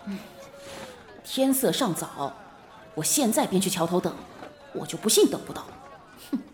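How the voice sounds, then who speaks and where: A woman playing an earnest young gentleman magistrate in a Chinese period audio drama, polite and expressive. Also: mid-range voice, moderate pace, a little hiss or hum, lightly acted. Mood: determined.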